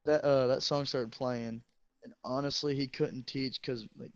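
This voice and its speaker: A teenage man, a high school football player, casually telling crude, joking, rambling stories to a friend. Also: steady tone, a little slow, gravelly, highs cut off.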